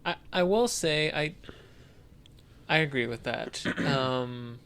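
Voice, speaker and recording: A man with a smooth, clear voice and a middling pitch talks casually as a podcast co-host. He feels his way through his points a little hesitantly, thinking aloud as he goes. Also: slow pace, fairly bright tone. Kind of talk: casual discussion of video games.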